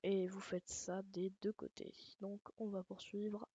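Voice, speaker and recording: A woman's voice: mid-range voice, flat delivery, unhurried, dry recording, highs cut off. A woman with a light Alsatian accent giving a rambling, casual game tutorial, swearing and making self-mocking jokes. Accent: Alsatian French. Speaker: gaming channel host. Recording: loud background noise.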